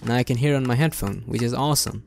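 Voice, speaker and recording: A young adult man hosting an informal software tutorial, explaining things step by step in a casual way. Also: medium pitch, racing, vocal fry, soft background music. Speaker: tutorial host. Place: indoor room at a desk.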